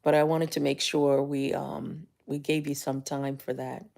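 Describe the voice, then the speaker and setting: Low gravelly Jamaican woman coaching. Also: unhurried. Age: older. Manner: animated storytelling, rises high when excited, drops to whispers. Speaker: life coach and livestream host. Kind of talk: casual motivational livestream talk.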